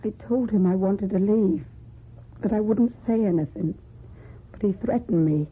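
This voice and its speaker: A woman acting in a radio drama as a timid, guarded young runaway, speaking in a subdued, husky and breathy voice. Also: mid-range voice, normal speed. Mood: subdued, sincere. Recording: muffled, phone-like old radio recording.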